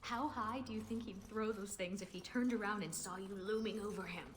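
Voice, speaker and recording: A woman's voice: medium pitch, brisk, noisy background, lightly acted. A woman playing a young Dalish elf hunter in a video game, playful and direct as well as earnest. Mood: teasing.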